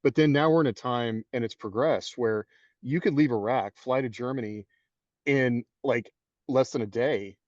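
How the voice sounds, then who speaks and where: A man co-hosting a podcast panel, reflecting in a measured, casual way on life in the Army. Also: mid-range voice, a little mellow, no room sound. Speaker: podcast co-host, Army veteran author. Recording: dull, dry lo-fi mic.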